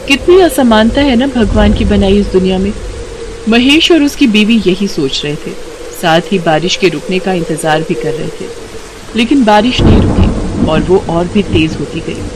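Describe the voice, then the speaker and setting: A woman narrating a scripted children's moral story as a storyteller, expressive and dramatic. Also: fairly low pitch, background noise. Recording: loud rain and ambience behind.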